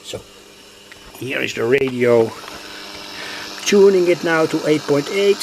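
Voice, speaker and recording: A man demonstrating a radio circuit at his workbench, speaking haltingly with pauses, fillers and self-corrections. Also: fairly high pitch, expressive, creaky, a little mellow, a little hiss or hum, low-quality recording.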